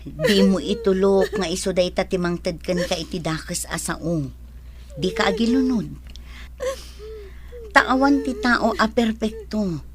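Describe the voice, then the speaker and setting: A woman's voice: mid-range voice, sing-song, measured pace, long pauses, crowd noise. A woman acting a sensible, generous older sister from the village in a radio comedy drama, with a Filipino accent. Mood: admonishing.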